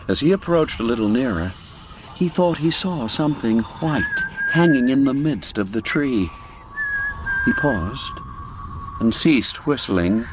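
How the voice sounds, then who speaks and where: A man narrating classic literary fiction in a dramatic, deliberate and suspenseful storytelling manner. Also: medium pitch, a little hiss or hum.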